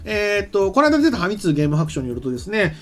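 Animated, quick-talking man explaining things. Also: sits high. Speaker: commentator and co-host, anime and game writer. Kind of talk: casual explanatory commentary. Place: small studio set, indoors. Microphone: desk microphone. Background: background music.

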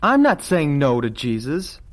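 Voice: mid-range voice, very animated, unhurried. An actor playing a reluctant, questioning twelve-year-old boy in a vintage radio drama, earnest and defensive.

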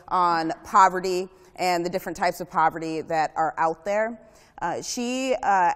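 A young woman opens a workshop in a chatty, self-deprecating way with joking asides. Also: lowish voice. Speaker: workshop presenter, service center director. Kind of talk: presenting a workshop. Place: large parish hall. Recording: long hall reverb.

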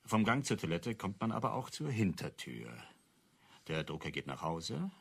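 An actor playing an eager amateur detective in a radio crime drama, theorising in a halting, musing way with a husky voice. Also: male voice, low-pitched, sing-song, heavily acted. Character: eager, hesitant amateur detective at card table.

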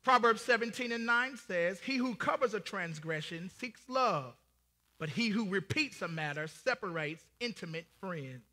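Man acting a piercingly bright, high-voiced solemn judge. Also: lively intonation, normal speed. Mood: stern. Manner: solemn, commanding. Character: solemn male heavenly judge reading scripture in judgment. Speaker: narrator reading scripture. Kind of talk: declamatory church stage play. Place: large church hall before an audience. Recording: reverberant church hall.

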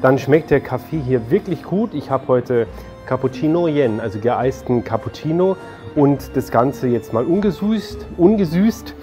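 A man's voice: medium pitch, lively intonation, a little slow, warm tone, soft background music. A man in his forties presenting a travel vlog, talking and narrating as he shows places. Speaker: travel vlogger.

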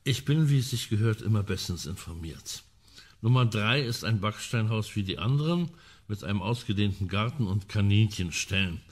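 A man's voice: fairly low pitch, expressive, normal speed, slightly rough. An actor playing a well-informed male amateur sleuth in a radio crime drama, talking in a dry, precise, ironic way. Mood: dryly informative.

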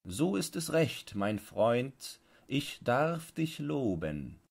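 A domineering storybook dog owner, acted by a man reading aloud. He speaks condescendingly and insistently, raised well above the reader's usual pitch, in slow, swinging phrases full of command. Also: big pitch swings, creaky, full character voice.

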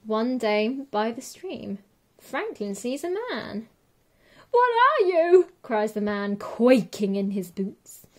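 A woman reading a children's picture book aloud as a teacher would, in an expressive, measured way. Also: medium pitch. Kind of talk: children's storybook read-aloud.

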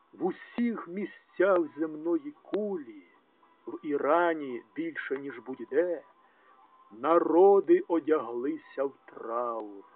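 The sound is thin and narrow, as if through a telephone. A man with a slightly high voice recites verse very slowly. His delivery is declamatory and dramatic, rising to loud peaks and dropping away again. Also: husky. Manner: declamatory, with strong rises and drops in loudness. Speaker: translator reading own poetry translations. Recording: tinny, narrow phone-like sound.

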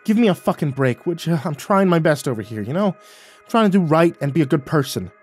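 A man playing an awkward, frightened young man in an audiobook, stammering and croaky as he pleads and haggles. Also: mid-range voice, moderate pace, darkish tone, soft background music, natural voice. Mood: exasperated pleading. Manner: stammering, croaky, whiny desperation. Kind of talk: audiobook character voice.

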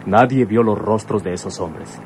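A man acting a courteous, serious son in a radio drama. His voice is low and measured in tone, with faint traffic-like noise behind it. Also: lightly acted. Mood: explaining, defensive. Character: sober, courteous adult son of a police commissioner.

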